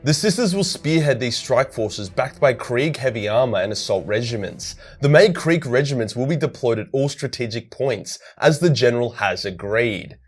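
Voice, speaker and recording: Gruff major general played by a male narrator, mid-range voice, decisive and commanding, clipped delivery for a war audio story. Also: mid-range voice, lively intonation, moderate pace, hardly any pauses, pitched above the performer's own voice. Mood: commanding, resolute. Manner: authoritative, clipped orders. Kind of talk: dramatised storytelling.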